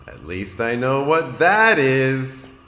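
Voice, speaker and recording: A man's voice: medium pitch, very animated, slow, sharp tone, pitched above the performer's own voice, exaggerated voice. A man voicing an earnest, indecisive young turtle boy in a children's storybook, with a wondering, childlike delivery. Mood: thoughtful. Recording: roomy echo, noticeable background noise.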